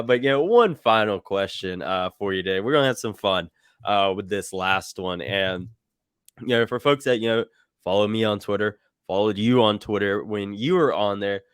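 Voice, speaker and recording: Smooth, low-voiced male podcast host. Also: expressive, normal speed, dry recording, clean studio sound. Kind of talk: casual chat.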